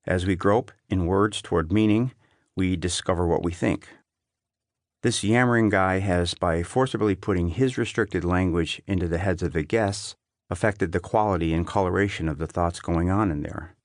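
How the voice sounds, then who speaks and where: A man reading an essay as an audiobook narrator, in a measured, clearly phrased delivery. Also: sits low, no echo, studio quality.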